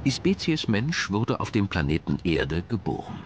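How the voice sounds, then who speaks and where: A man reading scripted documentary narration in a measured, dramatic manner. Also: sits low, sing-song, background noise. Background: music.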